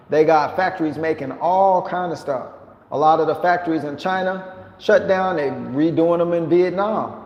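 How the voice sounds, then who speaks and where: A man's voice: on the high side, a little mellow, lo-fi sound. Slangy joking man in echoey room. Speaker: vlogger living abroad. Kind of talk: casual chat to viewers. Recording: echoey room with long reverb.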